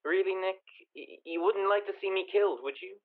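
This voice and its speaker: A man's voice: sits high, a little fast. An actor playing a nervous, obnoxious man who fears murder, hesitant and stammering, in a radio mystery through a telephone effect. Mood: pleading. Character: nervous, obnoxious male caller fearing his own murder. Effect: telephone filter. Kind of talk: 1940s radio comedy-mystery acting. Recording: thin, narrow telephone-band sound.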